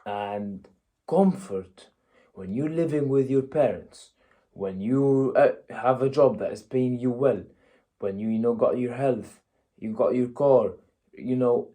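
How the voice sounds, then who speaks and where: A young man from Egypt delivers a casual motivational talk in a warm, dark-toned voice. His pitch sits in an ordinary middle register. He speaks bluntly and conversationally, checking in with "yeah?" and "you know". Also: slow pace. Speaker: motivational vlogger.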